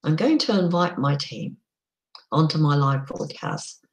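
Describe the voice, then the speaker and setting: Slow-talking woman with a croaky voice. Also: deep voice, animated. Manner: slow, chatty, frequent ums. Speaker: live stream host. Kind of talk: casual solo live stream.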